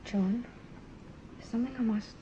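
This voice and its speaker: A woman playing a prim but fond young waitress in a radio drama, brisk and gently scolding. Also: a little high, fairly even intonation, close to an everyday voice. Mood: hesitant. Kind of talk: British radio drama acting. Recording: muffled radio recording, faint noise.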